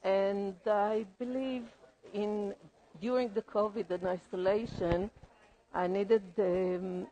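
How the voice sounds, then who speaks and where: A woman artist from Poland speaks hesitantly, pausing often with fillers as she explains her work. She is recorded indoors in a museum gallery. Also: mid-range voice, fairly even intonation, mellow, rough recording. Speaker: exhibiting artist.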